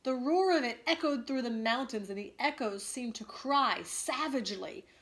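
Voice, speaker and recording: A woman teacher reading a story aloud expressively to students, pausing to ask them questions. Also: a little high, a bit bright.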